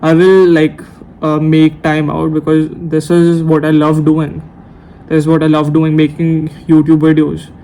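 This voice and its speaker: Brief: young man around nineteen, unscripted late-night vlog, rambling with restarts, monotone, slightly raised pitch. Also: a little slow, slight background noise, low-quality recording. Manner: rambling, hesitant, self-correcting. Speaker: YouTuber. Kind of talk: unscripted talk to camera. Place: room at night. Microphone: camera mic.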